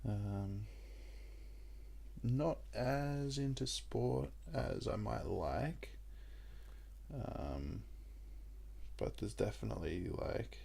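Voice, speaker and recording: Young Australian guy, low and gravelly, a bit husky, loose rambling let's-play chatter. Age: young adult. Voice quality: croaky, gravelly voice. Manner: rambling and relaxed, with many ums. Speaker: gaming YouTuber. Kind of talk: casual let's-play commentary.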